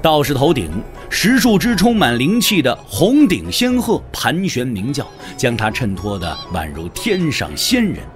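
Very animated male story narrator. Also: mid-range voice, measured pace, hardly any pauses, faint music behind. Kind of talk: scripted dramatic storytelling narration.

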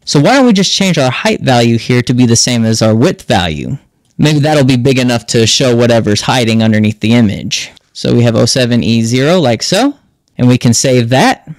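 A man's voice: medium pitch, animated, moderate pace, no room sound. A man hosting a tech tutorial, explaining step by step in a casual screen-narration style. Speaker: host of a tech tutorial channel.

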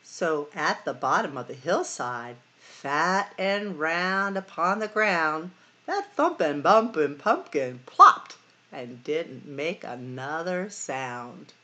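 A middle-aged woman hosting storytime, reading a picture book expressively to children with sing-song, drawn-out emphasis. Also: deep voice, expressive, bright, forceful tone. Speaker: library storytime host.

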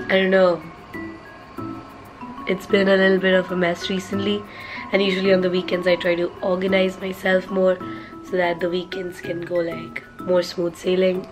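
A young woman with a hoarse, worn voice, talking casually to camera in her dorm room and keeping her speech soft to spare her throat. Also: on the low side, rough recording. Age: young adult. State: hoarse, lost voice from sore throat. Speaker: vlogger, college student. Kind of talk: casual vlog talk to camera. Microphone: handheld camera close up.

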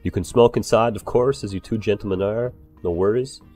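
A man's voice: fairly low pitch, fairly quick, darkish tone, faint music behind, close to an everyday voice. A man improvising an eager, nervously fawning barkeeper in a game role-play, rambling and swearing as he talks. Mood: eager, chatty. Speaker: role-player playing a bar owner.

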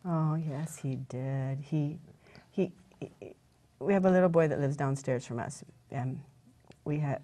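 Chatty woman with a low voice. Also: mellow. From rural Wisconsin, USA. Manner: chatty, rambling with restarts and self-deprecating laughs. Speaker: guest craft presenter. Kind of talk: casual chat while demonstrating a craft. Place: indoor TV studio set.

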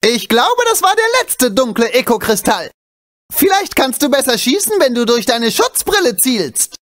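A small, wisecracking animal sidekick from a video game. The voice sits high and jumps around with very animated intonation. The delivery is excitable, cheeky and urgent, full of exclamations. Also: male voice, moderate pace, soft background music, heavily acted. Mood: chatty, cheeky.

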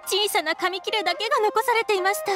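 Casting: prim, worried young granddaughter, very high feminine voice, game dialogue. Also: female voice, fast talker, music in the background. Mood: distressed, polite. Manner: polite, pleading feminine speech. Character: polite, anxious young townswoman, devoted granddaughter. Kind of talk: video game voice acting.

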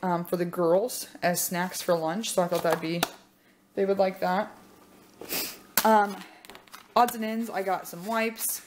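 A young woman chatting casually in her home kitchen, upbeat and full of "um" pauses as she walks through her grocery haul. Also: mid-range voice, steady tone, background noise. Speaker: vlogger showing a grocery haul. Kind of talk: casual chat.

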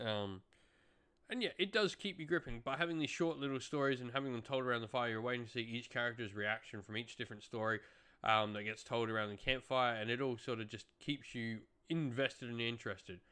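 Young male reviewer, mid-pitched voice, casual delivery, channel host, home room recording. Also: brisk, bright, forceful tone, clear, resonant tone. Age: young adult. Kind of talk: casual audio drama review to camera. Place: small home room, indoors.

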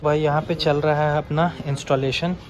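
A man's voice: fairly high pitch, level tone, normal speed. A man chatting casually to viewers as a vlog host, explaining things informally and repeating key points. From India. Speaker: vlogger and host. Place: small indoor motorbike accessory shop. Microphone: action camera held by the speaker. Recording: noisy room with clear ambient noise.

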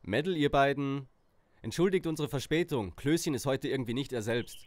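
A man's voice: medium pitch, lively intonation, brisk, close to an everyday voice. A man playing a cocky, mocking teenage detective in a parody audio drama, with a dry, smug delivery.

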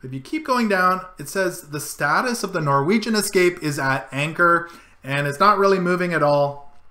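Middle-aged man, bright tense tone, slightly high voice, echoey home room, casual step-by-step tutorial. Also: normal speed. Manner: casual, walks through clicks and zooms step by step. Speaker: travel vlogger. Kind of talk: screen-share tutorial.

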